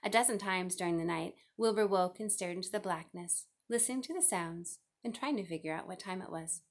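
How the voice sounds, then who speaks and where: A woman's voice: fairly low pitch, fairly quick. A woman reading a children's novel aloud as scripted narration. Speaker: storybook reader.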